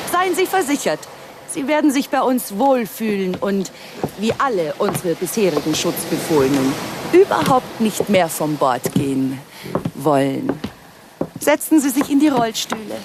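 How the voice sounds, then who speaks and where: An actress playing a stern, bossy stewardess in a comic radio play, reciting formal courtesies with menacing politeness. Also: female voice, mid-range voice, big pitch swings, normal speed, lightly acted. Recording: noisy outdoor ambience behind voice.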